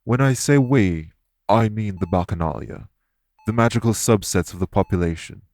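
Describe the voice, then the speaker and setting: Audio roleplay lead: male actor as a solemn, remorseful fae man, deep-pitched, earnest and deliberate, recorded dry. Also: a little mellow, no room sound, close to an everyday voice. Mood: grave, explanatory. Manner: measured, formal, earnest, deliberate phrasing. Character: solemn, guilt-ridden male fae explaining magic to a patient. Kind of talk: audio roleplay acting, addressed to listener.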